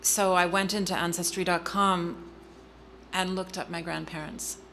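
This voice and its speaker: Middle-aged woman telling her family story, lowish bright voice, earnest, lots of ums. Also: flat delivery, vocal fry, a little hiss or hum. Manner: earnest, halting with frequent ums and restarts. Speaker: guest speaker. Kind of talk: personal story in a public interview.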